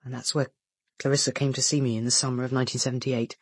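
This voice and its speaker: An actor playing a polite, earnest teenage schoolboy in a radio play, speaking hesitantly and deferentially. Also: male voice, mid-range voice, little pitch movement, fast, no echo, natural voice.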